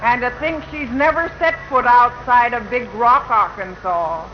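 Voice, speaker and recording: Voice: mid-range voice, a little slow, close to an everyday voice. A performer playing a proud, folksy country parent in rhyming patter for a 1940s radio show. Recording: dull 1940 radio recording. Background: music.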